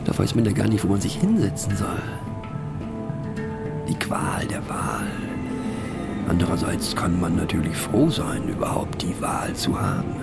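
An actor playing a musing, mocking train passenger in a radio play, talking ponderously with hushed asides and a creaky voice. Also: male voice, deep voice, huge pitch swings, a little slow, long pauses, whispered, loud music behind the voice, heavily acted. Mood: musing, hesitant.

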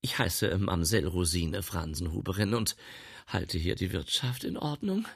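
A man voicing a bossy, vain housekeeper woman with a shrill, scolding manner. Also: animated, measured pace, creaky, breathy edge, full character voice.

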